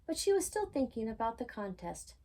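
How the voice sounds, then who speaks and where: A woman's voice: fairly high pitch, big pitch swings, fairly quick, mellow. A woman reading a children's storybook aloud expressively, with chatty asides to the listener. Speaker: storytime reader.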